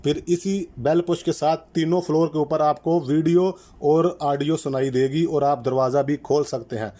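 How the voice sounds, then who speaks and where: A man with a slightly high voice walks through a technical installation one step at a time. He is methodical and instructional, restating key terms so each step is clear. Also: fairly even intonation, normal speed, dark tone. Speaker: electrician presenting a how-to channel. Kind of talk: step-by-step product installation tutorial.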